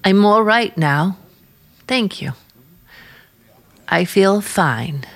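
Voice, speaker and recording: Earnest suspicious young niece, old radio drama, guarded n slow, pitch moves a lot. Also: female voice, animated. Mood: faint, insistent.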